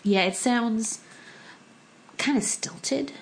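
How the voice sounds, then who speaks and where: A woman from the United States whose first language was Korean, talking in a relaxed conversation, thoughtful and full of small restarts and fillers. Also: a little high, drawn-out words, gravelly, rough recording. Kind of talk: casual conversation.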